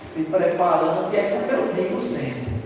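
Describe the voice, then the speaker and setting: A hoarse man preaching a sermon in a church, talking loud and conversational, often tagging his sentences with a quick question to the congregation. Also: high-pitched, noisy background. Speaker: lay preacher. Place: church sanctuary. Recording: distant, echoey church hall.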